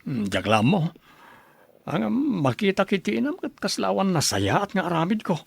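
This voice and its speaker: A man plays a young husband and new father in a radio drama. His voice is high for a man, with an Ilocano accent and a dry, close sound. He is eager and a little wary. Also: sing-song, normal speed, vocal fry, slightly rough, dry recording, full character voice. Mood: earnest.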